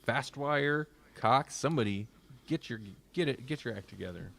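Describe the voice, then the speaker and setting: A man's voice: medium pitch, lively intonation, normal speed, vocal fry, fairly bright tone, dry recording. A man hosting a podcast in casual chat, talking in a rambling way with self-interrupting asides. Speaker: podcast host.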